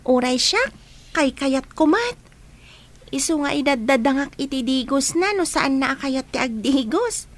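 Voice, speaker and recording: A woman's voice: high-pitched, lively intonation, a little slow. A woman playing an affectionate, eager young girl in a radio drama, with an Ilocano accent.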